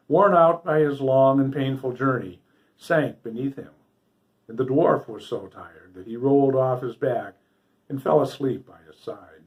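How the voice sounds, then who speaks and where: A man with a mid-pitched voice reads a fairy tale aloud. His storytelling is scripted and dramatic, with phrases set out deliberately for listeners of a story hour. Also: dull audio. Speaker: storybook reader.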